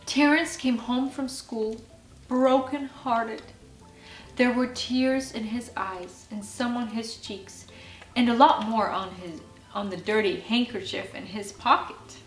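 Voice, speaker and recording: A woman reading a children's bedtime story as an expressive narrator. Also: fairly high pitch, takes it slowly. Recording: roomy sound with faint music behind.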